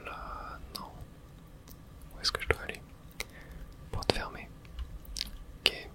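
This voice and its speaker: A person whispering a breathy, unvoiced ASMR running commentary while playing a video game, in a soft, hesitant murmur. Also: slow pace. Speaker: gaming video host.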